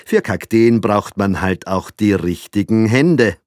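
A man voicing a fussy middle-aged father, switching between polite, gruff and baby-talk tones. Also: medium pitch, very animated, slow pace, dry recording, clean studio sound, pitched above the performer's own voice. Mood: polite chatter.

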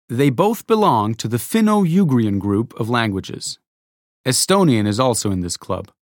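A man reading a scripted educational narration, explaining clearly and adding rhetorical asides. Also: medium pitch, no echo, studio quality.

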